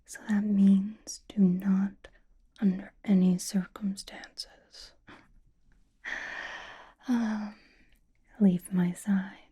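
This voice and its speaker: Woman acting slow, whispering, possessive female vampire. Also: mid-range voice, level tone, mellow, full character voice. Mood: commanding, possessive. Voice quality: breathy whisper. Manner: slow, coy, intimate, commanding. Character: possessive, teasing female vampire doting on her pet. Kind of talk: ASMR roleplay, whispered.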